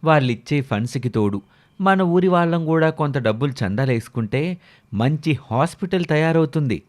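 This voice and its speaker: A man playing a polite, persuasive village flatterer who runs a clinic, in a moral story. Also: on the high side, fairly quick, pitched above the performer's own voice, lightly acted. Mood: flattering, persuasive.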